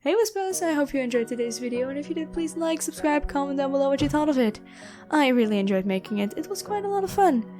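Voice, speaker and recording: High-voiced woman signing off casually. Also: lively intonation, fast, warm tone, soft background music. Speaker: ASMR voice performer. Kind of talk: casual sign-off to viewers.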